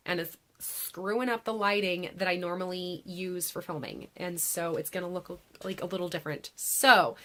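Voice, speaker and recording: A woman hosting her own book channel, chatting casually to camera with a raspy, congested voice after a long day of filming, with frequent restarts and "like"s. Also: medium pitch, a bit bright. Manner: chatty, restarts sentences, many "like"s. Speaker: BookTube host. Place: home filming setup beside a laptop.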